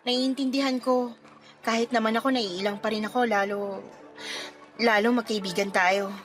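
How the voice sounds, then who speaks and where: A woman acts out a young pregnant woman in a Filipino radio drama, speaking in a very high voice. She is wounded and reproachful, pleading with a close friend in a Filipino accent. Soft music sits behind her. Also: steady tone, moderate pace, lightly acted. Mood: hesitant, awkward. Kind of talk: radio drama acting in Tagalog.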